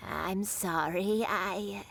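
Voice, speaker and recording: A woman's voice: medium pitch, extremely slow, creaky, fairly bright tone, slight background noise, full character voice. A woman playing a kindly, grandmotherly old kite maker in a video game. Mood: hesitant, polite. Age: older. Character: kindly old granny who makes kites.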